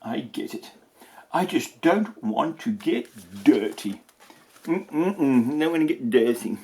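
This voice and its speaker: A whiny little boy from a storybook, acted out by a man reading aloud, fussy and reluctant about mess. His pitch swings about a lot, and he grumbles at a slow pace. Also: animated, warm tone. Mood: grumbling.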